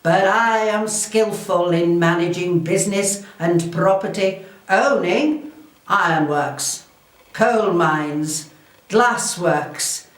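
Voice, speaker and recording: A woman playing a proud, shrewd, wealthy Elizabethan noblewoman, delivering a formal, theatrical first-person historical monologue in a deliberate way. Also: fairly low pitch. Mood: proud, assured. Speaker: volunteer historical re-enactor. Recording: echoey room, distant microphone.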